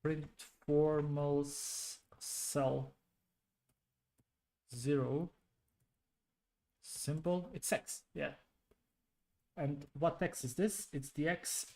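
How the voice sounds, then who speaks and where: Slow, mellow man muttering while debugging. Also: a little high, steady tone, creaky. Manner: slow, halting, muttering to himself. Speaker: programming streamer. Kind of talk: thinking aloud while debugging code.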